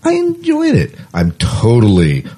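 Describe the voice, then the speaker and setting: A man co-hosting a podcast in casual chat, with jokey banter and words repeated in quick agreement. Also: medium pitch, wildly swooping pitch, dark tone, breathy edge. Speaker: podcast co-host. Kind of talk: casual chat, running through the show's lineup.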